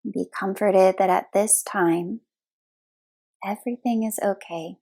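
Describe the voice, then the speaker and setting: A woman leading a guided relaxation meditation as a yoga nidra instructor, speaking soothingly in short, unhurried phrases with long pauses. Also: medium pitch, steady tone, warm tone.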